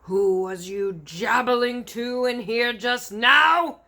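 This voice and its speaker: A woman voicing a huge, bullying, crude man-eating giant in a storybook, booming out mangled grammar with slow menace. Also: full character voice. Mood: suspicious, angry. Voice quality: booming, forceful shouting.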